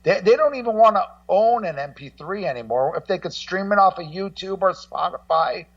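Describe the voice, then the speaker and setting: A man's voice: high-pitched, lively intonation, fairly quick, creaky. A middle-aged man talking casually as a guest on a music show, rambling and repeating himself, with some salty language. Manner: rambling, repetitive, salty-tongued. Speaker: guest co-host and music documentary maker. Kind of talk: casual music-business discussion. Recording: muffled, distant-sounding audio.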